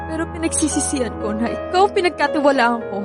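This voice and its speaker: A bitter, grieving young Filipino mother in a radio drama. Her voice is extremely high, accusing and thick with emotion, over faint music. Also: lively intonation, fast talker. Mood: bitter, anguished.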